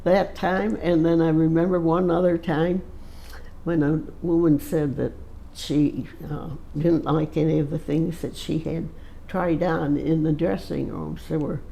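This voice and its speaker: A woman around a hundred years old tells family stories at a very slow, halting pace. Her voice is heavily gravelly and mellow. She pauses often and uses many fillers. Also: low voice, flat delivery, poor audio. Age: 100s. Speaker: interviewee. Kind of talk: casual storytelling.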